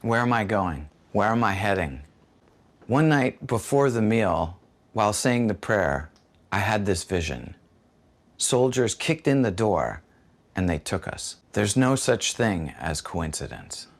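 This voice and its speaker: Low, gravelly man, searching tone. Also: big pitch swings, unhurried. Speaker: documentary interviewee. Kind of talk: reflective documentary narration.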